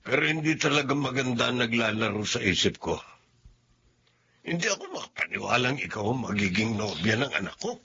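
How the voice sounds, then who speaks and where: A man's voice: medium pitch, sing-song, a little fast, sharp tone, breathy edge, dull audio, exaggerated voice. An actor playing a blunt old father who doubts his son's much older girlfriend, in a radio drama. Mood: disapproving. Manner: blunt, skeptical, polite-formal. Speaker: father of the young man.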